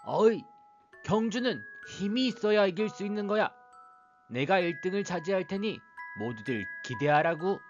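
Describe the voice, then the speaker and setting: A man voicing a boastful, swaggering male tiger in a storybook, calling out loudly, with soft music behind. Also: high voice, lively intonation, moderate pace, dull audio. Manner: loud, swaggering.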